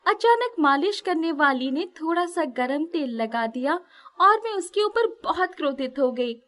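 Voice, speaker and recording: A woman acts out a hunchbacked former dancer in a moral tale. She is sweet-spoken and high in pitch, telling things slowly with gentle, reflective wisdom. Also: exaggerated voice.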